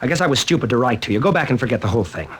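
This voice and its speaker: A man's voice: mid-range voice, animated, rapid-fire, slightly rough. An actor playing a nervous, hot-tempered young man in a 1940s radio drama, snappish and defensive.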